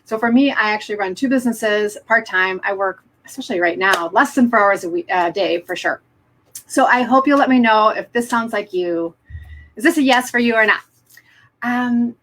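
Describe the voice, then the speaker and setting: A woman's voice: a little high, fairly bright tone. A middle-aged woman presenting live online as a business coach, upbeat and encouraging, speaking directly to her viewers.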